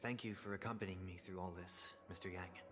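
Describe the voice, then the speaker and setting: A man playing a soft-spoken, formal and solemn young gentleman in a video game, speaking in a measured way. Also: low voice, steady tone, lightly acted. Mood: composed, polite. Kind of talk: dramatic video game dialogue acting.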